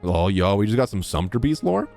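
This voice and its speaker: A man's voice: lowish voice, sing-song, brisk, gravelly, darkish tone. A man streaming a game, giving casual, slangy, joking reaction commentary. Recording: game music behind the voice.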